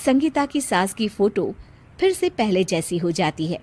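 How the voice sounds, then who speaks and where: A woman's voice: medium pitch, sing-song, unhurried, darkish tone. A woman narrator telling a scripted comic moral story.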